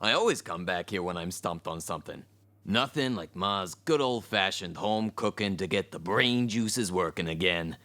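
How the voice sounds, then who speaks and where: A man playing an excitable, blunt, goofy animal adventurer in a theatrical comic audio drama. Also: low-pitched, normal speed. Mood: chatty. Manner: theatrical, easily outraged, comic. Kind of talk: audio drama cartoon acting. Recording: music behind the voice.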